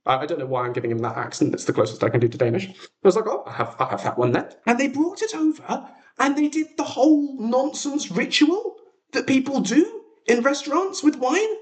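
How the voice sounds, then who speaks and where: A man in his twenties with an English accent hosts a casual livestream. His pitch swings widely as he talks, giving him an animated sound. He is chatty and a little self-deprecating, rambling along as if catching up with friends. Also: a little fast. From England. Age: 20s. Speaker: livestream host.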